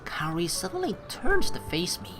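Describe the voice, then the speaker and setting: A woman's voice: on the low side, sing-song, normal speed, background noise. A young woman reading a visual novel aloud and adding playful, chatty commentary between lines. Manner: playful, giggly, chatty asides. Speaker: let's play streamer.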